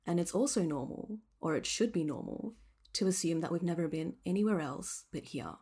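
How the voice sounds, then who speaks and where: Low-pitched Australian woman narrator, slightly husky. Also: lively intonation, normal speed, warm tone. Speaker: host and narrator. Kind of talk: scripted documentary narration.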